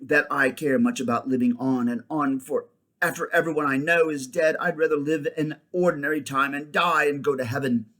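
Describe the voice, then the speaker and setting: A man's voice: fairly high pitch, steady tone, moderate pace, a bit bright, lightly acted. A man voicing a plucky, defiant young boy in a storybook, blunt and stubborn in manner.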